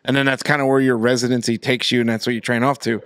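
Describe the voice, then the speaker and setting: A man's voice: medium pitch, little pitch movement, fast, fairly bright tone. A man hosting a casual podcast chat, reading a news item and then chatting loosely with his co-hosts. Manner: reads news aloud, then chats loosely. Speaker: podcast host.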